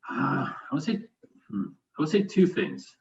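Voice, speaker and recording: A man's voice: a little high, very animated, unhurried. A man from Sweden answering interview questions conversationally, thinking aloud with some hesitation. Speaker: interview guest, business continuity manager. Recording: dull, lo-fi sound.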